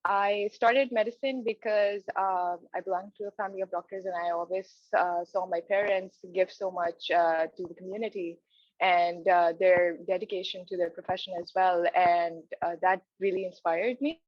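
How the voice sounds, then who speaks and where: A young woman from Pakistan answers interview questions in a steady mid-range voice, earnest and a little halting. The sound is close and dry but dull, like a lo-fi video call. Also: on the low side, no room sound. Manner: earnest, with many hesitant fillers and restarts. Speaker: residency applicant. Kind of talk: mock job interview answers.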